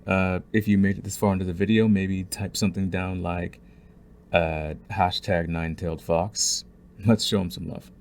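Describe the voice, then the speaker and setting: Deep-voiced man chatting casually to listeners. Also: steady tone, normal speed, a little mellow, a little hiss or hum. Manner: informal, earnest, says y'all. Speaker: audio roleplay creator. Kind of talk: casual outro chat to listeners.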